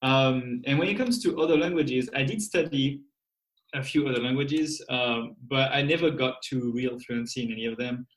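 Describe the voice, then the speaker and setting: A young man, a native French speaker with a French accent, answering a podcast host's questions in a thoughtful, measured way. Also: medium pitch, reverberant, poor audio. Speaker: podcast guest. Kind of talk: casual podcast interview.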